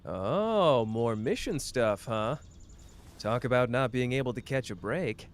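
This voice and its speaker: A man's voice: mid-range voice, expressive, measured pace. A man playing a cocky, playful young sorcerer teacher in an ASMR roleplay, breezy and teasing as he speaks to the listener. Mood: breezy, casual. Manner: breezy, teasing, self-assured.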